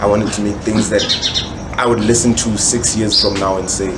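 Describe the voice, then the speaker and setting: Low-voiced South African man, echoey noisy recording. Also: little pitch movement, moderate pace. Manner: reflective, explains himself at length with emphatic repetition. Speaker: guest musician, rapper. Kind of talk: casual podcast conversation. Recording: echoey room, loud background noise.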